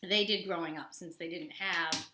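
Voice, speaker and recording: A woman's voice: fairly low pitch, steady tone, normal speed, pushed and bright. A woman in her forties, an elementary teacher giving an informal lesson and read-aloud for children, explaining simply and expressively. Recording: roomy echo, faint background noise.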